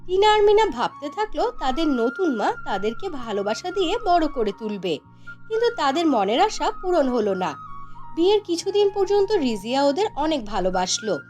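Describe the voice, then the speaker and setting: A woman's voice: sits high, sing-song, normal speed, a little mellow. A woman narrating a scripted fairy tale for a cartoon, as a storyteller. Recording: music behind the voice. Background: background music.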